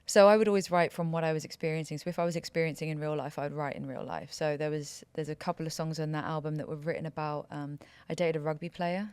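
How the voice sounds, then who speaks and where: Woman, podcast guest. Low, chatty storyteller, dry close recording. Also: quick pace, hardly any pauses, clean tone, no echo. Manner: chatty, laughing, animated storytelling. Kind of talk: casual interview chat.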